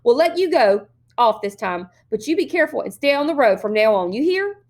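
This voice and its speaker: A woman's voice: a little high, sing-song, fairly quick, pitched above the performer's own voice. Male policeman, read by a woman, stern, officious, condescending, warning tone, storybook dialogue.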